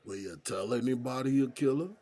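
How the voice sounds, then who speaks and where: An American man playing a streetwise, seasoned inmate in an audio drama, with a husky voice and folksy, slangy talk. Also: medium pitch, brisk, mellow, lightly acted. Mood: emphatic, urging. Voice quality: husky, gravelly. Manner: folksy street slang, probing rhetorical questions. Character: streetwise, seasoned male prison inmate mentoring a younger friend.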